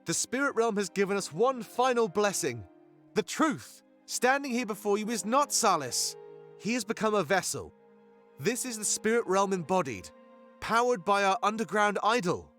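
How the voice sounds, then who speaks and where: An actor playing a fervent rebel leader in a fantasy video game, giving grandiose, oratorical speeches. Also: male voice, high voice, sing-song, moderate pace, a bit bright. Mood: earnest, rousing. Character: fervent male rebel leader, an anthropomorphic animal rallying his fighters. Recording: music behind the voice. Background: music.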